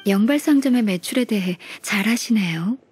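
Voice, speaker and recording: Game secretary: courteous, methodical, measured, mid-pitched, music underneath. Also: female voice, lively intonation, a little mellow, breathy edge. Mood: coolly coaxing. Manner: polite, measured, matter-of-fact. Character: composed, courteous senior secretary woman, methodical, shrewd. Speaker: game character voice actor. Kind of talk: video game story voice acting.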